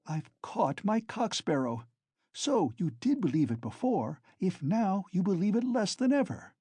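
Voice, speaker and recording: A man's voice: high voice, very animated, a little slow, warm tone, breathy edge, no room sound, pitched above the performer's own voice, exaggerated voice. A man reading an audiobook, voicing a volatile, feverish young man who mocks and pleads bitterly. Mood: taunting. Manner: mocking, then pleading in a frenzy.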